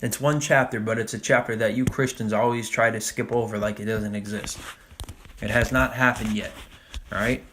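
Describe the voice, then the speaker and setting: Man, religious teacher, casual sermon, slow deliberate scripture reading, conversational asides. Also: on the low side, steady tone, noisy background. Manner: casual preaching with frequent "man" and "all right?"; reads scripture slowly and deliberately. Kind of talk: Bible study sermon.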